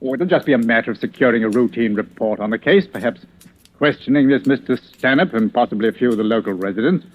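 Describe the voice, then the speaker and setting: Actor as affable British chief inspector, muffled recording. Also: male voice, medium pitch, quick pace, lightly acted. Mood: affable, casual. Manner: clipped, affable, casual. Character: genial British police chief inspector, casually avuncular. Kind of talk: 1940s radio acting. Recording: muffled vintage radio recording.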